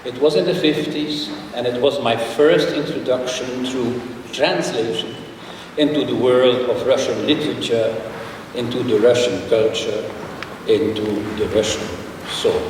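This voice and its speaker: An older man delivers a formal address in a large conference hall. He speaks in a measured, slightly halting way, with learned asides. The sound is far from the mic and washed in long reverb, with steady noise behind it. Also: medium pitch. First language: Dutch. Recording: echoey hall, picked up from afar, with noise.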